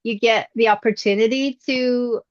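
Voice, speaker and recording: A woman's voice: fairly high pitch, normal speed, no echo, highs cut off. Middle-aged woman with a youthful voice. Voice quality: youthful-sounding voice. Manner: relaxed and reflective, with many fillers and restarts. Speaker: voice actress, interview guest. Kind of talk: casual interview answers. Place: indoors at her mother's home.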